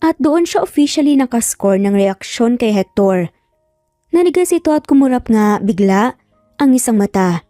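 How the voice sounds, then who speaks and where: A woman's voice: a little high, expressive, moderate pace, warm tone, no room sound, clean studio sound, faint music behind. A woman with a Filipino accent narrating a scripted story in a dramatic storytelling style.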